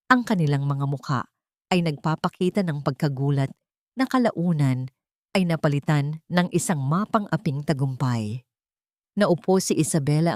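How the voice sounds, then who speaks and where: A woman's voice: sits low, warm tone, no echo, studio quality. A woman narrator reading dramatic fiction as scripted storytelling.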